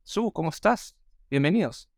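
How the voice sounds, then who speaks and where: A man born in Australia whose first language is English, hosting a podcast and telling stories in a lively way with many fillers. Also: on the high side, moderate pace, vocal fry, no room sound, clean studio sound. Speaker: podcast host. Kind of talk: casual chat.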